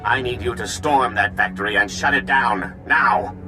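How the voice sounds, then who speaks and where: A man's voice: mid-range voice, normal speed, close to an everyday voice. A man playing an earnest resistance leader in a video game, speaking with pressing, sincere authority. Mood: urgent. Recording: music behind the voice.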